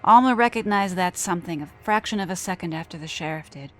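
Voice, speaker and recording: A woman narrating a scripted horror story dramatically, often dropping to a hush at the ends of phrases. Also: fairly low pitch, fast. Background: background music.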